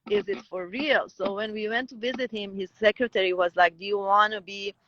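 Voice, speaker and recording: A woman's voice: medium pitch, steady tone, a little fast, a bit bright, dull audio, slight background noise. A woman with a Persian accent, a native Persian speaker, talking as an interview guest with many restarts and fillers. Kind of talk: conversational interview.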